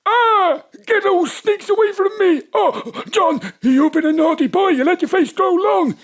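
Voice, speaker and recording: A man playing an excitable, hallucinating pub landlord in a comic audio drama. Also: very high voice, unhurried. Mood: panicked, tripping.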